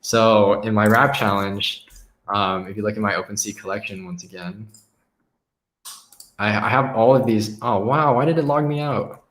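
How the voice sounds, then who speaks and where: Low-voiced man hosting a casual stream. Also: normal speed, a bit bright, roomy sound. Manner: upbeat, laughs often, reads quotes then reacts. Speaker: live stream host. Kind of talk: casual live stream chat, reading aloud.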